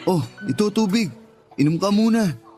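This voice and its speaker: A man with a Filipino accent playing a loyal, soft-hearted friend in a radio drama, talking earnestly. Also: high voice, moderate pace, soft background music, natural voice. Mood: concerned.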